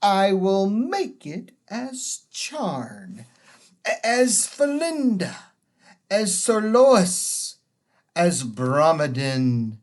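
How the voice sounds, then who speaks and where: A woman voicing a proud, furious witch queen, speaking slowly, loudly and menacingly. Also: big pitch swings. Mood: furious threatening. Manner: booming, slow, menacing.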